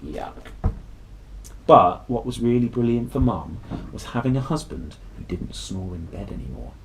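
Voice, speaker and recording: A man's voice: fairly low pitch, lively intonation, unhurried, slight background noise, rough recording. A man reading a children's story aloud expressively, with dramatic emphasis and playful delivery. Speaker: storybook reader. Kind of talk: animated children's story narration.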